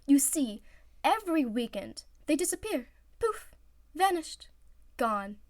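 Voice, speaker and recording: A woman's voice: high voice, very animated, takes it slowly, no echo, clean studio sound, pitched above the performer's own voice, exaggerated voice. A woman voicing a whiny, dramatic and playfully scheming teenage boy team captain in a story reading.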